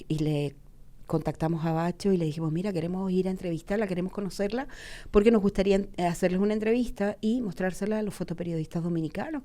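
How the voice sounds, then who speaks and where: A woman's voice: mid-range voice, mellow. A woman from Chile, a photojournalist guest, telling a story with enthusiasm and acting out the lines of the people in it. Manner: enthusiastic, acts out quoted dialogue. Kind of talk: animated storytelling.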